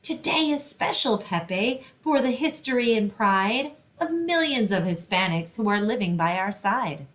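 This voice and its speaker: A woman's voice: medium pitch, expressive, measured pace, hardly any pauses. A woman reading a picture book aloud and explaining things simply for children, as a librarian hosting story time.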